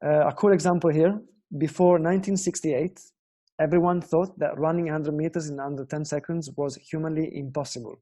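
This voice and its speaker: Young italian guy teaching guitar online, earnest, keeps checking in with viewers, warm mellow tone. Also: a little high, fairly even intonation, brisk. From Italy. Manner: earnest, explanatory, asks viewers questions. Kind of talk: online guitar lesson talk.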